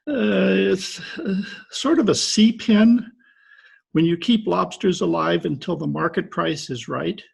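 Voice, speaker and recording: A man acts out an aggrieved small-town fisherman from Maine for a radio play. The voice is heavily gravelled and husky, pitched slightly high, with a folksy, halting delivery. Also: exaggerated voice. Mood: halting, indignant. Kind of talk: old-time radio detective drama acting. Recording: remote video-call recording.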